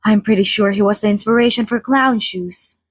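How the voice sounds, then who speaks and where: A woman voicing a playful, theatrical century-old female vampire in a chatty, mock-formal ASMR roleplay monologue, with a soft, breathy voice. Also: mid-range voice, a little fast, dry recording, natural voice. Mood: gossipy, wry. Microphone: close studio microphone, dry.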